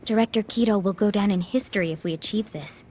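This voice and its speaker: A woman's voice: mid-range voice, quick pace, a little mellow, slight background noise, natural voice. A woman playing an articulate, earnest medical research expert explaining things in a video game. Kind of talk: expository video game dialogue.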